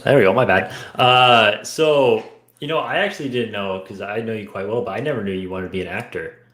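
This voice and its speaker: Male interviewer, fairly deep voice, relaxed conversational style, roomy echoing audio. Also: fairly quick. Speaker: podcast interviewer. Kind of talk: casual interview chat. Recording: echoey room sound.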